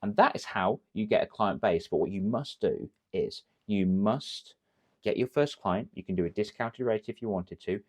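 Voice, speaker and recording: An English man, a confidence coach, giving energetic direct-to-camera business advice full of rhetorical questions. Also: on the low side, normal speed. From England.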